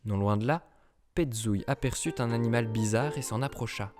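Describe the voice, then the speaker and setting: A man narrating a children's storybook cartoon in a lively, rhythmic reading. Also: mid-range voice, brisk. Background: children's music.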